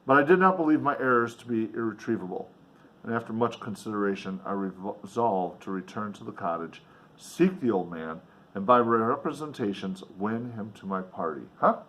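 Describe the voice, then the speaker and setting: A man's voice: fairly low pitch, expressive, normal speed, fairly bright tone, poor audio. Man reading a classic novel aloud expressively. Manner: dramatic, emphatic reading broken by offhand asides. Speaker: reader and commentator. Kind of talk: expressive book reading with casual commentary.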